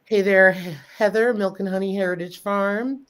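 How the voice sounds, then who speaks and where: A snuffly woman hosts a casual livestream at a middle pitch. She greets viewers by name and gives practical, step-by-step advice in an easy, friendly way. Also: steady tone, moderate pace. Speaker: host.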